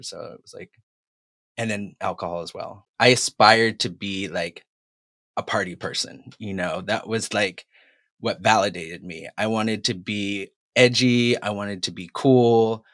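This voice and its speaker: A man's voice: lowish voice, fairly even intonation, unhurried, fairly bright tone, no room sound. A man in his forties from Massachusetts tells his life story in a candid, reflective way, with frequent fillers. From Massachusetts, New England. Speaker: guest telling his story. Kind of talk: recorded personal story.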